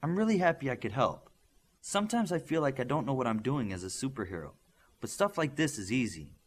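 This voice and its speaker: A man voicing an eager, modest young male superhero for an audiobook, with a casual, boyish, excitable manner. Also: mid-range voice, a little fast, slightly rough. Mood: modest, sincere.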